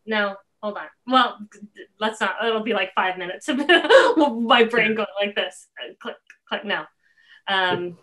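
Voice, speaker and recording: A middle-aged woman hosting a casual interview over video call, thinking aloud in a halting way. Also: medium pitch, pushed and bright. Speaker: interviewer and host.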